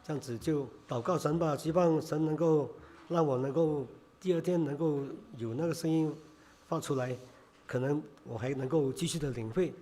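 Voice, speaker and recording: A man preaching a sermon in Chinese, hoarse from a cold, halting with many filler sounds. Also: fairly high pitch, mellow.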